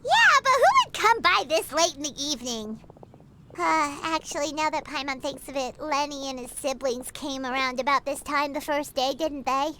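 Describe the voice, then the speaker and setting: A woman's voice: high voice, lively intonation, normal speed, background noise. A woman voicing a tiny floating fairy girl companion who is chatty and nosy and talks about herself by name. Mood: chirpy. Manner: chirpy, speaks of herself by name. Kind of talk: game voice acting.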